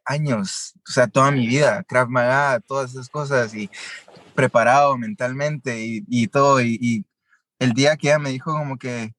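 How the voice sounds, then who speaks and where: A man's voice: mid-range voice, steady tone, normal speed, fairly bright tone, no echo. A young man from Guatemala, telling his story as an interview guest and mixing with English. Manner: switches between Spanish and English mid-sentence, many fillers.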